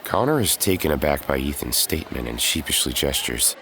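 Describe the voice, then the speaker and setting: Very deep-voiced male drama narrator. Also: big pitch swings, fairly quick, a bit bright, slight background noise. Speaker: narrator and lead character. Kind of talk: scripted audio drama narration. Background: music.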